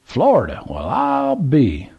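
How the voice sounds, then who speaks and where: A man voicing an excitable, toothless old Southern man who drawls and exclaims in a high voice. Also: slow, creaky, breathy edge, muffled recording, exaggerated voice. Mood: excited.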